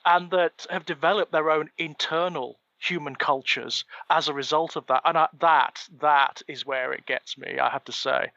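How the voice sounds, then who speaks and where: Bright, enthusiastic co-host, a man, muffled dry audio. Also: a little high, steady tone, normal speed, creaky, hardly any pauses, dry recording. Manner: enthusiastic, wordy and expansive. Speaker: podcast co-host. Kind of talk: casual podcast chat.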